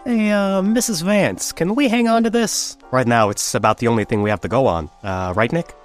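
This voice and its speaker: An actor playing an eager, deferential young detective's assistant in an old-time radio drama, earnest and a bit hesitant. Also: male voice, a little high, moderate pace, faint music behind, natural voice.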